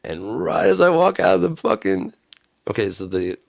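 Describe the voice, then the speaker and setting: A man's voice: fairly low pitch, sing-song, moderate pace, gravelly, no room sound. A man tells a rambling, profane story, laughing often. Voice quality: raggedy voice from smoking and screaming. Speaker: vlogger telling a story. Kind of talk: casual storytelling monologue.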